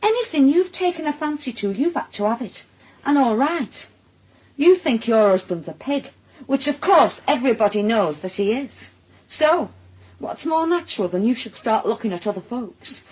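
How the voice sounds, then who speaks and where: A woman playing a wounded, sharp-tongued, sarcastic wife with a Northern English accent in a naturalistic radio drama. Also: a little high, expressive, normal speed, slightly rough, low-quality recording. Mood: bitter, accusing. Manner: accusing, sarcastic.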